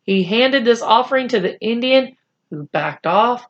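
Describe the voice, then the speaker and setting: A woman narrates a children's book in a deliberate storyteller style. Her pace is slow and her pitch is lively, moving widely as she reads. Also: highs cut off. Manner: deliberate, animated. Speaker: read-aloud storyteller.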